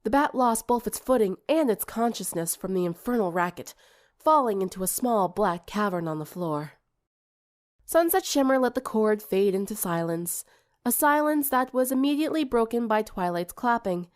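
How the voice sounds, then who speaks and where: A woman's voice: a little high, expressive, moderate pace. A woman reading a scripted story aloud as an audiobook narrator. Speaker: audiobook reader.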